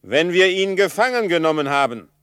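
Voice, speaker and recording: An actor playing a proud, cunning middle-aged chief in a radio drama, speaking solemnly and with haughty formality. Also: male voice, sits high, big pitch swings, brisk, a bit bright, clear, resonant tone, dry recording, clean studio sound. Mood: proud, scornful. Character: proud, cunning Comanche chief of about fifty. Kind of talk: German radio drama acting.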